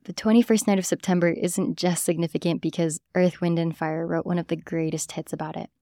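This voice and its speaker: A woman's voice: lowish voice, level tone, a little fast, warm tone, no room sound, studio quality. A woman hosting a podcast, reading scripted narration. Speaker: podcast host.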